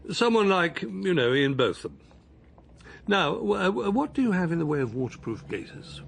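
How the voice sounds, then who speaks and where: A gravelly, earnest older Englishman. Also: sits high, very animated, normal speed, a little hiss or hum, heavily acted. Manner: earnest, hesitant, gently awkward. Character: older retired man, earnest, gentle, a little awkward. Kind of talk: radio drama acting.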